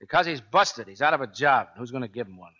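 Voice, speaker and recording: A man with an American accent playing a gruff, blunt Navy gunner's mate in a 1940s radio drama. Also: mid-range voice, animated, fast, pushed and bright. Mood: blunt, irritated. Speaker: actor playing lead and narrator. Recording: dull 1940s radio recording with music.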